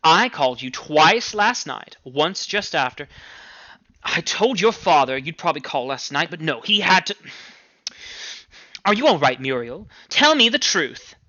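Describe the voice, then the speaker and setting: A man's voice: a little high, sing-song, measured pace, bright, forceful tone, no echo, highs cut off, exaggerated voice. A man voicing an anxious, overwrought middle-aged mother in an audiobook story, fretful and emphatic as she scolds. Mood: worried, insistent.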